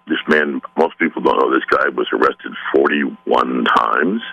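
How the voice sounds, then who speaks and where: A man guest with a sore throat, speaking earnestly in a phone-in interview, halting with many restarts. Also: very deep voice, sharp tone, dull audio. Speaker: author guest.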